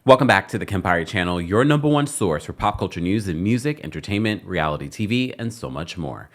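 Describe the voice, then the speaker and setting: Low-voiced American man, very animated delivery. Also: brisk, soft background music. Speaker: YouTube host, pop culture commentator. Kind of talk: entertainment news commentary.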